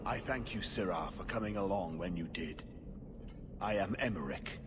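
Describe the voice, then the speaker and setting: An actor playing a weary, middle-aged templar knight in a video game, formal, earnest and discouraged. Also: male voice, sits low, normal speed, lightly acted. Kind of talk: video game dialogue acting. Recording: clear noise behind the voice.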